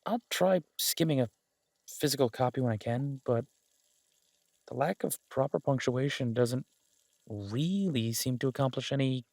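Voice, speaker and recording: A man playing a lovesick, wry mafia boss who rambles haltingly in an intimate audio drama voice message. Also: medium pitch, darkish tone, no echo, studio quality. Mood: dry, bemused. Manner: halting, rambling, drawn-out pauses, occasionally swearing.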